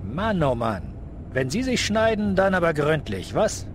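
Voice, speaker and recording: An actor playing a brisk, sardonic police officer in an audio drama, official and pointedly questioning. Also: male voice, a little high, very animated, noisy background. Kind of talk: German audio drama acting.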